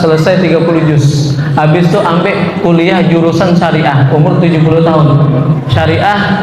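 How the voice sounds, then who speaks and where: A man giving a lively sermon to a congregation, quoting Arabic and translating it, and joking with the crowd. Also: fairly high pitch, noisy background. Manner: animated, quotes Arabic then translates, jokes with the audience. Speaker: Islamic preacher. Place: large mosque or hall, in front of a live audience. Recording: echoey hall, roomy live PA sound.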